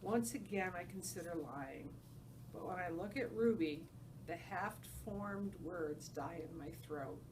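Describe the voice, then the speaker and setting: A woman's voice: on the low side, a little slow. A woman reading a story aloud as a teacher, narrating and putting questions to her students. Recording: distant mic with faint background noise.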